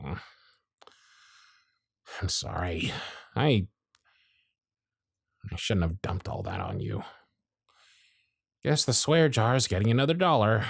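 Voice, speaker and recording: A man voicing a jaded, century-old male workshop supervisor who is gruff, weary, sardonic and blunt, in an ASMR roleplay with close miking. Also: fairly low pitch, big pitch swings, slow pace, long pauses, no echo, exaggerated voice. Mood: apologetic. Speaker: voice actor in audio roleplay.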